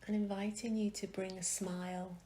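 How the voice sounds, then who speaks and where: A woman leading a guided meditation in a gentle, soothing way, with soft phrases that trail into a whisper and long pauses. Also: medium pitch, flat delivery, poor audio.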